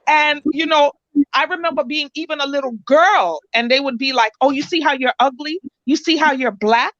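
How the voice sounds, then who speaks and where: Woman, Jamaican accent, bright higher voice, dry dull lo-fi stream sound. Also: moderate pace, no room sound. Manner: emphatic, repeats "you understand what I'm saying". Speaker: guest. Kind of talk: casual radio chat.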